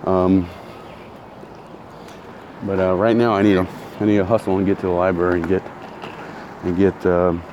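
A man's voice: sits low, level tone, normal speed, pauses often, highs cut off, noisy background. A man ranting in a casual monologue, blunt and heated, repeating himself, his throat still recovering from soreness. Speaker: vlogger.

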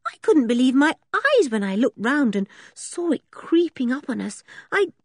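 A woman voicing a timid, anxious young girl in a storybook reading, speaking in a fearful, hushed way. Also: sits high, very animated, moderate pace, no room sound, studio quality, pitched above the performer's own voice, exaggerated voice. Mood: uneasy.

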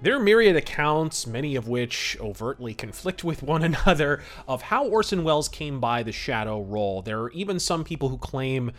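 A man's voice: medium pitch, big pitch swings, normal speed, hardly any pauses, soft background music. A man hosting a podcast, giving film review and history commentary in a conversational, opinionated, wryly amused way. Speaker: podcast host.

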